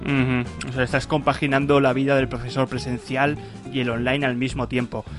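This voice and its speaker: Male podcast host, middle pitch, casual and chatty, faint music. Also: little pitch movement, fairly quick. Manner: chatty, with fillers and repeats. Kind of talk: casual interview chat.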